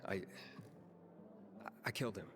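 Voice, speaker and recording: An actor voicing a young, guarded wanderer who stammers at times, in video game dialogue. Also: male voice, unhurried, noisy background, natural voice. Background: music.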